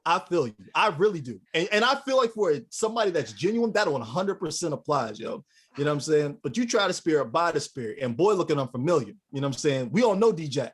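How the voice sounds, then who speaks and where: A man's voice: on the high side, big pitch swings, fast talker, slightly rough. A man co-hosting a casual faith-based talk show who talks in slangy, emphatic bursts and laughs mid-sentence. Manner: slangy and emphatic, keeps asking "you know what I'm saying?"; laughs while talking. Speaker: podcast co-host.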